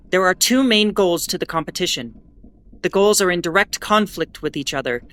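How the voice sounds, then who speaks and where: A woman playing a weary, sardonic starship broadcaster in an audio drama, giving history in a deliberate, grudging, pointed way. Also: on the low side, bright, forceful tone. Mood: dry, disapproving. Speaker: ship's bulletin broadcaster. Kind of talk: in-character educational monologue, audio fiction.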